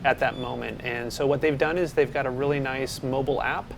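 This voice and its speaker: A man co-presenting a developer show, chatting in a relaxed way about software partners. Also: medium pitch, fairly quick, creaky, background noise. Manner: relaxed, chatty with filler words. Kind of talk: casual chat. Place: studio set.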